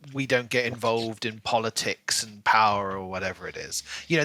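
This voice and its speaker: Bright-voiced man in casual book talk. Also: mid-range voice, dry recording. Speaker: podcast co-host.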